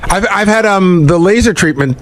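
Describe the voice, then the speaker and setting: A man with a fairly high voice talks casually as a radio show staffer. He sounds defensive, patiently explaining and correcting. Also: expressive, moderate pace. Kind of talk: casual conversation.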